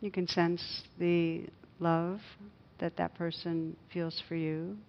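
A woman meditation teacher leading a guided loving-kindness meditation in a gentle, hushed, unhurried manner with long pauses. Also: on the low side, little pitch movement, highs cut off.

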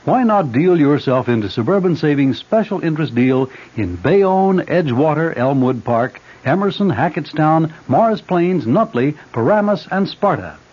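Animated, husky male radio announcer. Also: fairly high pitch, moderate pace, hardly any pauses. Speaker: commercial announcer. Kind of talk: scripted 1970s radio advertisement. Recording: dull old radio broadcast recording.

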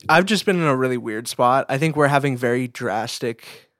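A man co-hosting a podcast in casual conversation, with hesitant, halting phrasing. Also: medium pitch, a bit bright, no echo, clean studio sound. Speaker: podcast co-host.

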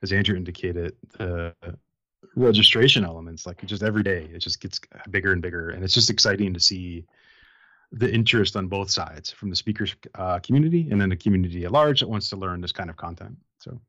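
Low, bright man on lo-fi call. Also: no room sound. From Tennessee, USA. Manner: friendly, fast, rambling. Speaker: guest, enterprise architect. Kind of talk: casual video-call chat.

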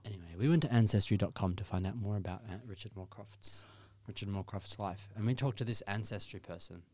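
A man's voice: fairly low pitch, a little fast, a little mellow, no room sound. A man co-hosting a comedy bit, teasing and swearing as he asks questions. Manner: teasing, sweary, interviewer-style.

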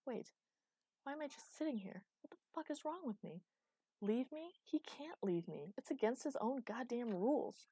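A woman voicing a desperate, fierce, commanding heroine in a dark fantasy audiobook, emotional and insistent. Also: mid-range voice, unhurried, no echo, studio quality, natural voice. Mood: indignant. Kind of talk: audiobook character acting.

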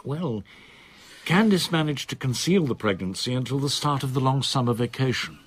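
An actor playing a reserved solicitor in his sixties in a radio drama, with a measured, formal, precise manner. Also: medium pitch, expressive, slightly rough. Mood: wistful, confessional. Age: 60s. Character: reserved older male solicitor, in his sixties, quietly confiding.

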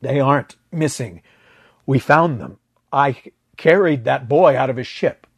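A man's voice: medium pitch, unhurried, breathy edge, no echo, clean studio sound, voice pushed up. A man voicing a dazed, desperate male space rescue pilot in a story, agitated and disbelieving. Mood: confused, insistent.

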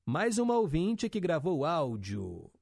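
A man's voice: on the high side, huge pitch swings, unhurried, creaky, very noisy. A young male radio host, chatting casually and reading listener messages with upbeat teasing asides.

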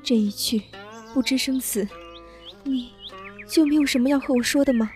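An actress playing a young woman bent on revenge who speaks in a soft, longing, gentle way. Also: sits high, normal speed, soft background music, lightly acted. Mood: wistful. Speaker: voice actor. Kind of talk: Chinese audio drama acting.

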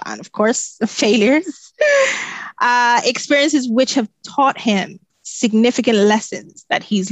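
A woman's voice: on the high side, no room sound. A woman hosting a podcast interview, upbeat and friendly, laughing easily as she welcomes a guest. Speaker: podcast host. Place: United Kingdom.

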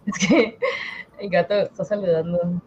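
Female co-host, mid-pitched, casual conversational delivery, muffled lo-fi recording with faint noise. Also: steady tone, a little slow. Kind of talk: casual chat. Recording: dull lo-fi sound, background noise.

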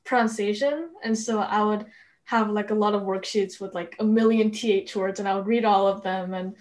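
A woman's voice: mid-range voice, fairly even intonation, normal speed, darkish tone. A young woman co-hosting a casual podcast chat, talkative, laughing often, with a light stutter. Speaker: podcast co-host.

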